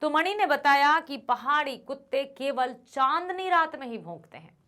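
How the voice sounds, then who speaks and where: Lively woman teacher running a quiz. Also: sits high, moderate pace. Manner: reads out options, then explains answers; addresses students as children. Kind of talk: exam revision lesson.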